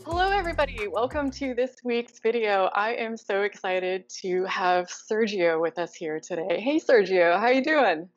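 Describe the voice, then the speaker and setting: A woman's voice: mid-range voice, lively intonation, moderate pace, few pauses, lo-fi sound, soft background music. A woman in her thirties hosting a video-call interview, upbeat and encouraging. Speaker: host. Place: living room indoors. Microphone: lapel mic on a video call.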